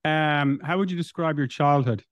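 A man's voice: a little high, no echo. A male podcast host in a dry, close recording, asking his guest questions. He starts over partway through and pieces his questions together as he goes. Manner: asks questions in halting, restarted phrases. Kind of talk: interview questions.

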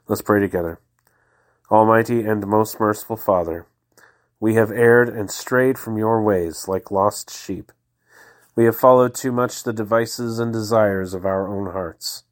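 A man with a slightly low voice leads a daily prayer service, reading the liturgy in a calm, devotional way with slow pauses. He sounds stuffy from a head cold. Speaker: podcast host leading daily prayer.

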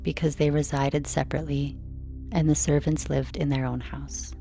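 A woman reading a scripted sleep story in a slow, soothing narration style. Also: deep voice, little pitch movement, creaky, warm tone, faint music behind.

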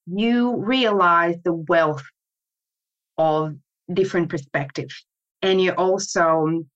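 A woman with a Brazilian accent, a native Portuguese speaker, answering interview questions as a podcast guest in a reflective, measured way. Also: lowish voice, a bit bright. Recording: slightly dull, lo-fi audio.

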